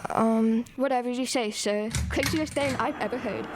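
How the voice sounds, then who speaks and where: Woman as a higher-voiced police secretary. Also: normal speed, over music, close to an everyday voice. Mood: dutiful, skeptical. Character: dutiful but doubting female police secretary. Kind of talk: amateur radio drama acting.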